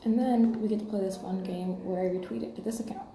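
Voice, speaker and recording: Mellow woman chatting in a roomy space. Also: medium pitch, steady tone, fast. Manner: friendly, rambling, talking half to herself. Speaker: livestream host, podfic reader. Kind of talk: casual livestream chat with listeners. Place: indoor room at home, rain outside. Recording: roomy echo, faint background noise.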